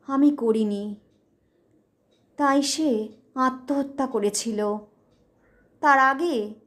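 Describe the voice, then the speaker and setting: A woman voicing a pensive, grave wife with a secret past in an audio ghost story. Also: high-pitched. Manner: grave, absent-minded.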